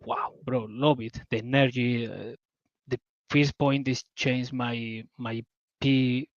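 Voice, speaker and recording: A man's voice: medium pitch, fairly even intonation, slow, no room sound, muffled recording. A man from southern Spain with a Spanish accent, a native Spanish speaker talking as a guest on a community call, searching for English words with eager enthusiasm. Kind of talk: casual call talk.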